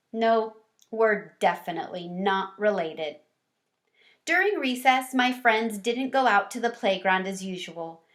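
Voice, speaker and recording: A woman's voice: mid-range voice, fairly bright tone. A woman voicing a small, cheerful, sentimental pet hamster, narrating a storybook with earnest wonder and expression. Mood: hopeful.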